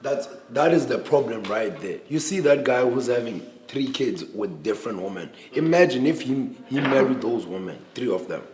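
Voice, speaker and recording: A man's voice: mid-range voice, animated, normal speed, hardly any pauses, darkish tone. A man in his twenties, a native Xhosa speaker, talking earnestly in a casual panel discussion with many restarts. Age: 20s.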